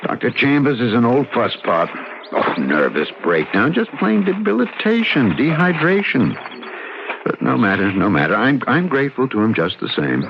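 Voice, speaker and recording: A man's voice: mid-range voice, sing-song, normal speed, background noise. An actor playing a wry, avuncular married man of about fifty, convalescing, in a radio drama. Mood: genial, dismissive. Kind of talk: radio drama acting with reflective narration. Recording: dull old radio drama recording.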